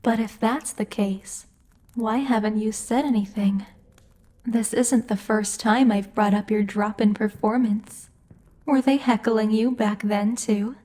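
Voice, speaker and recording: Woman to play imperious female demon lord for ASMR roleplay: soft-edged mellow tone, higher register, measured and teasing, protective boss. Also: steady tone. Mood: stern, probing.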